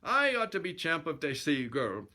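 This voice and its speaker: A man's voice: on the high side, wildly swooping pitch, moderate pace, pitched above the performer's own voice, exaggerated voice. A man voicing a huge, dim, belligerent Swedish sailor in a storybook, with a blustering mock-Swedish accent. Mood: defiant, blustering. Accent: put-on mock Swedish, slipping toward Irish. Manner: loud, blustering.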